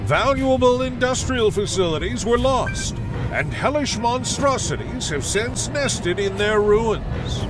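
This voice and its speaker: A dramatic propaganda-style military announcer for a game. His delivery is emphatic and high, with lively swings over loud music. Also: slow, exaggerated voice. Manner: emphatic, theatrical. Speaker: narrator. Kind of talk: scripted dramatic mission briefing narration.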